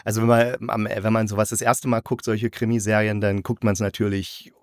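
A man's voice: fairly low pitch, flat delivery, fairly quick, no echo, clean studio sound. A man hosting a review podcast, chatting casually with a guest and explaining things as he goes. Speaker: host of an audio drama review podcast.

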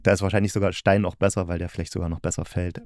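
A man chatting casually as an interviewer, halting and thoughtful as he searches for words. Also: low voice, flat delivery, darkish tone, dry recording, clean studio sound. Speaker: interviewer, reviewer of audio dramas.

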